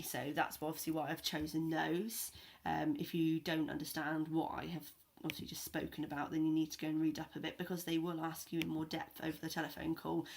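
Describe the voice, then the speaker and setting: A woman's voice: sits low, creaky. A woman vlogger giving informal advice in a hesitant, rambling way. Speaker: online marketing vlogger.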